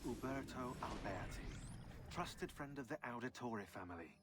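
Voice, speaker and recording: An actor playing a wry modern researcher who delivers a conversational, sardonic briefing in a video game. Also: male voice, medium pitch, lively intonation, normal speed, over music, lightly acted. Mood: wry, informative. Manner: sardonic, informal. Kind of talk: conversational scripted narration in a game.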